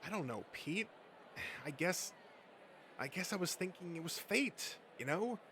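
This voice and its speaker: Man playing anxious, placating nerd, lightly high-pitched. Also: animated, unhurried, bright, forceful tone, a little hiss or hum, exaggerated voice. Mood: earnest. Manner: pleading, conciliatory, hesitant. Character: anxious placating adult male nerd, eager peacemaker. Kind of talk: comic dub character acting.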